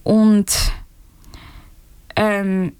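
Young woman, talks super slow with lots of ums, clean dry sound. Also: medium pitch, flat delivery, mellow, clean tone, dry recording. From Switzerland. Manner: slow, halting, many fillers and restarts. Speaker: speaker in a group talk. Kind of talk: unscripted personal talk.

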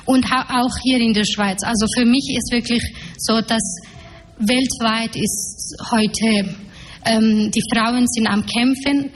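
A woman's voice: on the high side, steady tone, takes it slowly, faint music behind. A woman in her twenties from Afghanistan, a panel guest and activist, speaking with many fillers and searching for words. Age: 20s. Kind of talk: public panel talk. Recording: echoey hall, faint noise.

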